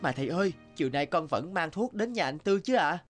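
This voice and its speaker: An actor plays a keen young male apprentice from an animated folk tale. The delivery is earnest and naive, at a medium pitch, with music underneath. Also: a little fast, close to an everyday voice. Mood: eager, admiring. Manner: deferential, admiring, earnest.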